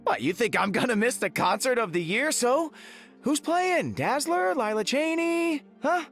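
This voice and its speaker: Very high-pitched, cocky space hero. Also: male voice, sing-song, unhurried, fairly bright tone, soft background music, heavily acted. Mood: eager, joking. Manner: breezy, joking. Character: cocky, goofy space-misfit hero, eager music fan.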